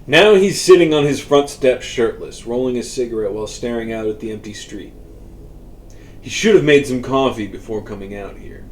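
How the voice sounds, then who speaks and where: Male story reader, mid-pitched, casual and profane narrative delivery, noisy homemade recording. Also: lively intonation, normal speed. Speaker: author reading own story. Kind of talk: scripted narration, audiobook-style fiction reading.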